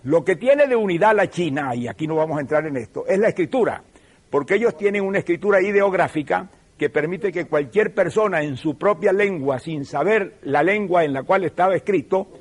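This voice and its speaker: An older Venezuelan man speaks in a measured, professorial way, leaning into key points with emphasis. His voice sits a little higher than most men's. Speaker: TV show host. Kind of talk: televised lecture.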